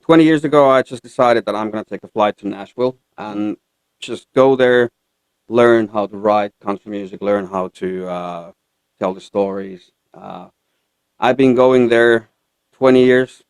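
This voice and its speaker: Male interview guest, Finnish accent, low resonant voice, halting casual delivery, dry studio sound. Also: clear, resonant tone, no echo, rough recording. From Finland. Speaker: guest country singer-songwriter. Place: radio studio.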